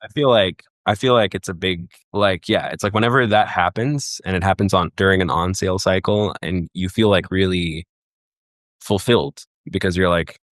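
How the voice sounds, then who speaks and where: A young man from Egypt with a very low voice talks casually on a podcast, circling his thoughts with lots of fillers and restarts. The sound is dry and close, with no room around it. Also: moderate pace, fairly bright tone, no room sound. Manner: rambling, many fillers and restarts. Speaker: guest, festival booker.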